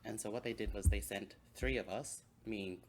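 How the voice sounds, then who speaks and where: A man's voice: on the low side, normal speed. Man telling stories casually, some background noise. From Hong Kong. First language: Cantonese. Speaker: podcast co-host. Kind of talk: casual storytelling chat.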